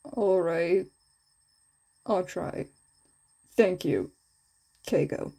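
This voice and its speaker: Female reader as reserved teen boy student, fan fiction audio; lowered pitch, quiet, terse, serious. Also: extremely slow, creaky, slightly rough, heavily acted. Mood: reluctant.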